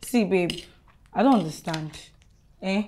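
A woman's voice: lowish voice, measured pace, mellow, a little hiss or hum, lightly acted. A woman voicing a nosy best friend who teases in pidgin. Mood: insistent. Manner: teasing, pidgin-laced.

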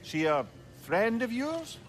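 An actor playing a witty, talkative male companion in a video game, with a wry, animated, chatty delivery. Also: extremely high pitch, slow pace, slight background noise, full character voice.

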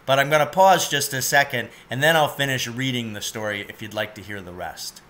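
A man's voice: mid-range voice, a little fast, pushed and bright, a little hiss or hum. A man in his forties reading a children's story aloud as narrator. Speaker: storybook reader. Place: cluttered indoor room at home, seated.

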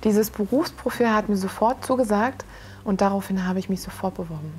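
A woman's voice: mid-range voice, fairly quick, a little mellow. A woman in her twenties, a trainee, composed and matter-of-fact in an interview. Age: 20s. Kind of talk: interview statement.

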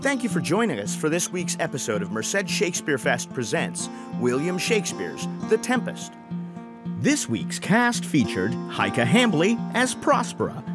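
A man reading scripted podcast credits as the announcer. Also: on the high side. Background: guitar music.

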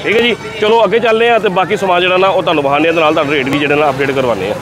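Fast-talking Punjabi man in a crowded bazaar. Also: a little high. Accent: Lahori Punjabi. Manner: chatty, enthusiastic, addresses viewers often. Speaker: vlogger touring a market. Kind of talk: lively on-the-street market vlog. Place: busy outdoor bazaar. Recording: busy market crowd behind.

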